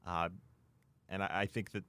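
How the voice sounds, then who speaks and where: Low-voiced man talking sports. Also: flat delivery, moderate pace, pushed and bright, smooth, no echo. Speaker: sports show co-host. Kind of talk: conversational sports analysis.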